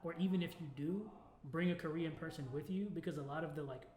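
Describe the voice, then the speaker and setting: Higher-voiced American man in an echoey room. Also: fast talker, a little mellow. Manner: informal, peppers speech with "like". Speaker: podcast co-host. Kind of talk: casual podcast conversation. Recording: echoey room with faint background noise.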